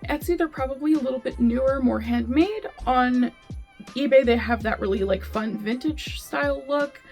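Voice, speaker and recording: A young woman talking through a casual vlog in a chatty, upbeat way, dropping plenty of ums and likes. Her fairly high voice carries a gravelly edge, and quiet music plays underneath. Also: normal speed. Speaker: vlogger. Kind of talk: casual gift-guide vlog.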